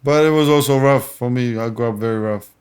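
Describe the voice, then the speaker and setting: Boxer guest, a man from Germany, plain and halting, laughs easily. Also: medium pitch, a little mellow, clean tone. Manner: simple phrasing, frequent restarts, laughs easily. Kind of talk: casual interview.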